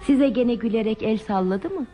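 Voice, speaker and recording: An actress playing a tender, curious woman in a radio play, with a soft, dramatic and inquisitive way of talking. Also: a little high, animated, moderate pace, soft background music, close to an everyday voice. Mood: eager. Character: tender, curious woman drawing out a shy man's memories. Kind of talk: radio theatre acting. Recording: dull, muffled radio drama recording.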